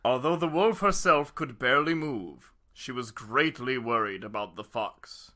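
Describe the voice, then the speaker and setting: A man's voice: medium pitch, unhurried, sharp tone. A man co-hosting and reading aloud on a casual comedy podcast, with a wry, joking manner. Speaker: podcast co-host and story reader. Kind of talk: casual comic chat and story reading. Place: garage.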